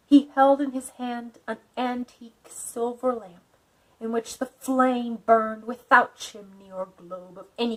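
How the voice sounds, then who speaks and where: A woman's voice: on the high side, rough recording. A woman hosting a video who reads a novel dramatically and breaks off for chatty asides full of fillers. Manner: chatty asides with fillers, theatrical when reading. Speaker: video host and reader. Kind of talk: casual commentary and dramatic reading.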